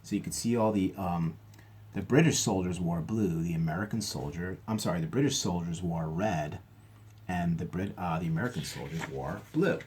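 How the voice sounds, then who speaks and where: Guy with a lowish voice reading a kids book out loud, teacher style, stops to explain stuff. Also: a little hiss or hum. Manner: explanatory, pauses to clarify for listeners. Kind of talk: read-aloud storytelling with asides.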